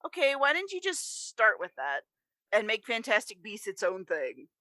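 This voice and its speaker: Bright, snarky woman podcaster. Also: fairly high pitch, huge pitch swings, normal speed, no room sound. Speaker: podcast co-host. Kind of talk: casual panel chat.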